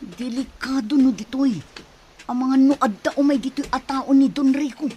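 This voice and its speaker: A woman's voice: high-pitched, fairly even intonation, normal speed, a little mellow, slight background noise, lightly acted. A woman playing a caring, cautious older sister in an audio drama, concerned and giving directions.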